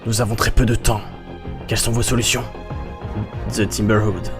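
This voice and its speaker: A man's voice: lowish voice, flat delivery, normal speed, natural voice. A man playing a stern commander who gives grave orders. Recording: clear music behind the voice.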